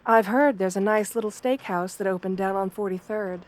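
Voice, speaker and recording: A woman playing a kind, professional wolf girl therapist in an ASMR roleplay, soft-spoken, gentle and measured in her reassurance. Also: medium pitch, lightly acted. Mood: caring, reassuring.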